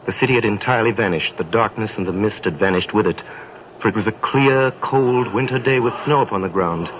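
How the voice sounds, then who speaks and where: A man's voice: fairly low pitch, brisk, background noise. A man narrating a 1930s radio drama in a dramatic storytelling way, addressing the listener directly. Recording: dull old radio recording with music.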